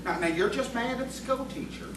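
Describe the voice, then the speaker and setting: A man's voice: extremely high pitch, animated, brisk. A man acting in audio theatre as a sly, placating rustic crony with folksy country phrasing. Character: rustic sly crony, placating his hot-headed boss. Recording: echoey, distant, noisy stage recording.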